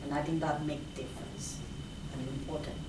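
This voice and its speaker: A woman who is an elected official, answering interview questions in halting phrases with frequent fillers. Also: low voice, flat delivery. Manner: halting, frequent "you know". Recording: echoey room, mic far off, noisy.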